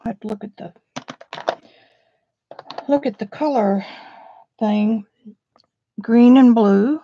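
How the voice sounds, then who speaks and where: A woman's voice: fairly high pitch, takes it slowly, creaky, dull audio, slight background noise. A woman, chatting casually on a live stream as an art teacher, a bit sniffly, describing her supplies to viewers.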